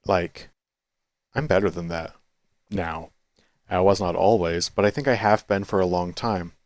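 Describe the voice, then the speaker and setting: Low-voiced man musing to himself. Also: no room sound. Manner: thinking aloud, many restarts and self-corrections; quits smoking, chews sunflower seeds. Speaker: vlogger. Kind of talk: rambling reflective monologue. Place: cafe.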